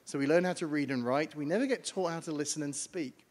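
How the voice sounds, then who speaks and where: A man with a British accent giving a brisk conference talk from slides, explaining to an audience. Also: on the high side. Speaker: panel speaker on air traffic communication. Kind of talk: conference presentation. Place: large conference hall. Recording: long hall reverb.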